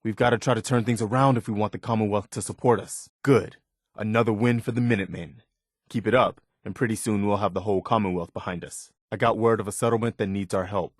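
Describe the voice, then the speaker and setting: Casting: male actor as steadfast, hopeful militia officer for a game; lowish voice, sincere measured delivery, close dry studio sound. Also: steady tone, no room sound, natural voice. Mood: earnest, hopeful. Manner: sincere, measured, plain-spoken. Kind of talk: video game dialogue acting.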